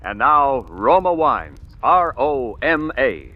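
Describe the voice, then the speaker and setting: A man's voice: medium pitch, big pitch swings, slow pace. A man announcing a sponsor on 1940s radio with a polished, emphatic sales delivery. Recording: dull vintage 1940s radio recording.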